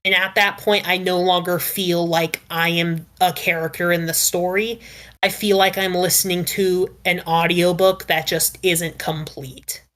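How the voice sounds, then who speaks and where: A woman speaking as a podcast panelist, giving opinions casually in a measured, qualifying way with frequent "like". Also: lowish voice, flat delivery, fairly bright tone.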